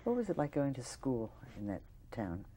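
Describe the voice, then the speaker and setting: A woman with a very deep, mellow voice conducts an interview. She asks short, gentle questions and keeps things moving with quiet mm-hmms. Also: huge pitch swings, moderate pace, husky. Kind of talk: oral history interview questions.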